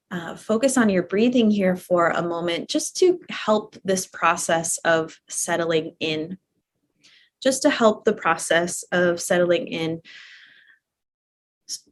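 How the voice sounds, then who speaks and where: A woman teaching a guided relaxation class in a gentle, permissive, conversational way, often repeating her phrases softly. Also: fairly low pitch. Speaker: yoga nidra teacher guiding a live class.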